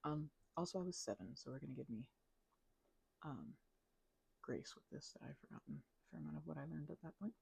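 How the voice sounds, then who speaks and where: A woman's voice: deep voice, animated, a little fast, gravelly, a little mellow, husky, no room sound, poor audio. A young woman chatting casually in an ASMR ramble, mumbling and hesitating as she drifts along, close to the microphone. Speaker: ASMR channel creator.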